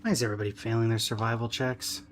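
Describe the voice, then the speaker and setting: A man's voice: fairly low pitch, little pitch movement, rapid-fire, slight background noise. A man giving casual, dry, wry commentary as he streams a video game. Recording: music behind the voice. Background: video game music.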